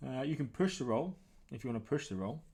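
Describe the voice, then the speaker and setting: A man narrating a tabletop role-playing game as game master, describing things in a halting, improvised, conversational way. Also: medium pitch, animated, creaky, dark tone, breathy edge.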